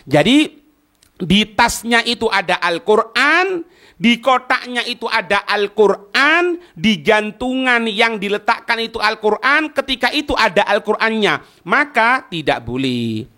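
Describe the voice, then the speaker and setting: Male Islamic teacher, East Javanese Indonesian accent. High-pitched, sharp delivery, didactic, question-and-answer explanations of a text. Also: very animated, unhurried. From East Java, Indonesia. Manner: didactic, asks rhetorical questions and checks understanding. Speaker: Islamic teacher giving a religious study class. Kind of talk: religious lesson reading and explaining an Arabic text line by line.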